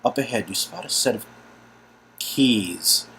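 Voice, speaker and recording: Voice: medium pitch, a little slow, fairly bright tone, slight background noise, rough recording. A narrator reading an interactive story game aloud in a dramatic style, breaking into casual, joking asides.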